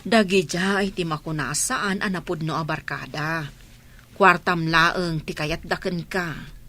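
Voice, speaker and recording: A woman's voice: deep voice, steady tone, unhurried, bright, forceful tone, full character voice. A woman with an Ilocano Filipino accent playing a frank, scolding village wife in a radio drama. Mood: reproachful.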